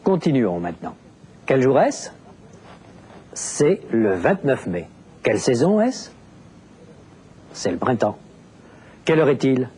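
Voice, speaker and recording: A man narrates a language lesson in a deliberate, explanatory way and enunciates every word clearly. He speaks very slowly, and his pitch moves widely up and down. Faint background noise sits under his voice. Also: sing-song. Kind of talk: scripted teaching narration.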